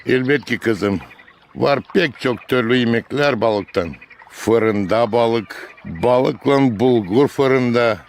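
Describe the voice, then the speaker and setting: Unhurried Gagauz grandfather. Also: mid-range voice, a little hiss or hum. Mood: warm reminiscing. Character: patient grandfather out fishing with children. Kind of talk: Gagauz-language cartoon dialogue.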